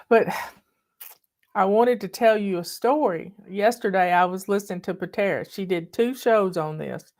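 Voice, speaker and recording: A woman's voice: medium pitch, a little mellow. An older woman from the American South talks to her online followers in a thick Southern accent. She tells stories in a folksy, chatty way, calling people "y'all" and owning up cheerfully to being bossy. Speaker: online host. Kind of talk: casual storytelling chat. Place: home in the mountains.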